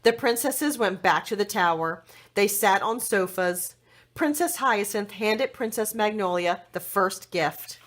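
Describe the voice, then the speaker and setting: A woman reading a children's story aloud expressively, with dramatic, deliberate phrasing for young listeners. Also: medium pitch, lively intonation, fairly bright tone.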